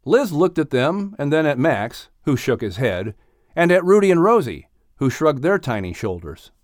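Animated male storybook narrator. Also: fairly high pitch, moderate pace, dry recording, studio quality. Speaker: audiobook narrator.

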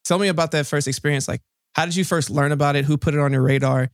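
A man's voice: on the high side, fairly even intonation, fast, dry recording. Male podcast host, close dry sound. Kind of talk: upbeat casual interview hosting.

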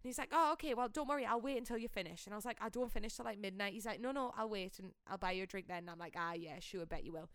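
A young woman chats as a podcast co-host, swearing freely as she tells a story. Her voice is smooth and clear, recorded dry, and her delivery is animated and blunt. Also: medium pitch, racing, hardly any pauses, no room sound. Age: young adult. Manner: animated, blunt, salty-tongued. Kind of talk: casual storytelling chat.

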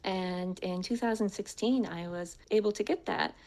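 A woman's voice: medium pitch, moderate pace, a little mellow, rough recording. An American woman telling her personal story as a speaker, in a prepared but conversational way. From United States.

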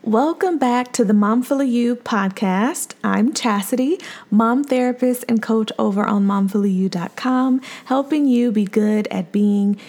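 Calm-voiced woman therapist hosting her podcast, bit high, lots of fry. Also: measured pace, a little hiss or hum. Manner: soft, calm, friendly with light laughs. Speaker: podcast host, mom therapist and coach. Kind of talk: solo podcast monologue.

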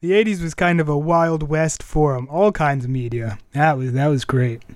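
A man's voice: on the high side, normal speed, no room sound, clean studio sound. A man hosting an anime radio show, chatting casually and introducing songs.

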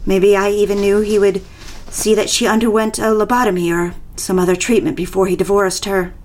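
A woman's voice: mid-range voice, level tone, a little fast, darkish tone, close to an everyday voice. A woman playing a lovestruck, compliant married mistress in an audio drama, speaking and narrating in an intimate, confessional way. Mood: conflicted, confessional. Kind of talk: dramatic first-person narration and dialogue. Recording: music behind the voice.

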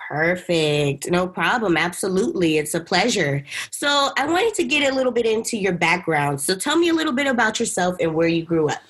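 A woman's voice: on the low side, expressive, a little fast, hardly any pauses, breathy edge. A young adult woman hosting an interview podcast, upbeat, reading scripted introductions. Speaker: podcast host.

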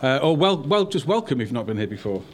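A man's voice: mid-range voice, big pitch swings, fast, a little hiss or hum. A man hosting a podcast and film event with wry, self-deprecating humour. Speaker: podcast host.

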